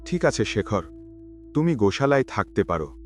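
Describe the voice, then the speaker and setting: A man's voice: on the low side, big pitch swings, normal speed. A man voicing a wealthy village landowner in a moral-story cartoon, with a patronising, authoritative manner. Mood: agreeable. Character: wealthy village landowner employing a poor labourer. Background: background music.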